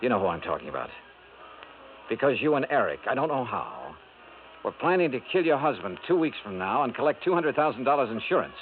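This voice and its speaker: An actor playing a wry, persistent hardboiled insurance investigator in golden age radio drama, clipped and sardonic. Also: male voice, mid-range voice, sing-song, a little fast, a little hiss or hum, natural voice. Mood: quietly accusing. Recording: old radio recording, narrow phone-like band.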